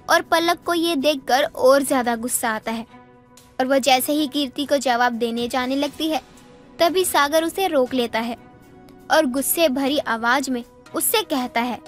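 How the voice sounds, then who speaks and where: High-pitched female story narrator. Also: a little slow, soft background music.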